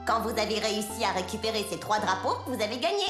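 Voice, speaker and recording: A woman voicing a cheerful talking cat who guides players through a virtual game in a clear, instructive, presenter-like manner. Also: mid-range voice, very animated, fast, lightly acted. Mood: bright, instructive. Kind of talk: scripted cartoon game instructions. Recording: roomy echo, music behind.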